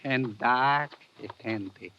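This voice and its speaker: A man's voice: mid-range voice, slow, close to an everyday voice. An actor playing a menacing foreign henchman with formal, coolly polite speech in a 1950s radio drama. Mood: coolly threatening. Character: menacing, courteous male foreign henchman with gun. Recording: dull, noisy vintage radio broadcast.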